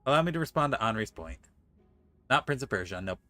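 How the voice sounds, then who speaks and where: Fast, bright-voiced man streaming games. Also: medium pitch, animated, soft background music. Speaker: game streamer. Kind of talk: livestream game playthrough, reading dialogue aloud and commenting.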